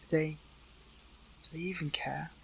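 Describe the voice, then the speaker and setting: Man acting a high-voiced, conflicted young hero. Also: fairly even intonation, a little slow, very noisy. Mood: weary, anguished. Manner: stammering, pleading, breathy outbursts. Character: captured young male hero, earnest, conflicted, lovelorn. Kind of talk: dramatic audio roleplay acting.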